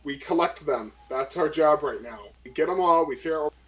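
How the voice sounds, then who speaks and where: A man's voice: on the high side, brisk. A man chatting casually as a player in a tabletop game. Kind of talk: casual group game chat. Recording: distant from the mic.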